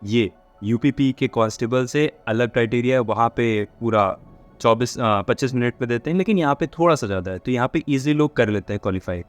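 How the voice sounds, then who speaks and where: A man presents an informal, explanatory update in a mid-pitched voice. He drops English words into his speech as he addresses his audience of candidates. Music plays behind him. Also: brisk. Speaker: host of a job updates channel.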